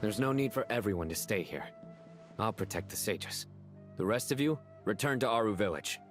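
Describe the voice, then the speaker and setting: A man's voice: fairly low pitch, lively intonation, moderate pace, soft background music, close to an everyday voice. A man voicing a composed, commanding young desert lawman in an anime game, terse and serious. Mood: resolute.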